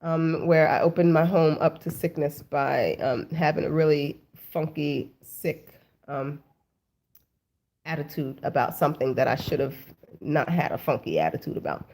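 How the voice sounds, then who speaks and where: A woman's voice: low-pitched, animated, moderate pace, a little mellow. A woman in her thirties hosting a casual talk for her viewers. She has a cold and sounds stuffy and sniffly, and she talks in a loose, chatty way full of "like" and "um". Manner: chatty, lots of "like" and "um", addresses viewers as family. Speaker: host.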